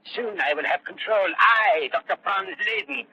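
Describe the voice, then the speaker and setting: An actor playing a scheming villainous doctor in an old radio thriller, theatrical and prone to gloating. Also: male voice, high voice, sing-song, normal speed, creaky, pushed and bright, heavily acted. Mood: gloating, smug. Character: scheming, gloating villainous foreign doctor, panicking when cornered. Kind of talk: 1940s radio acting. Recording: muffled old radio recording, faint noise.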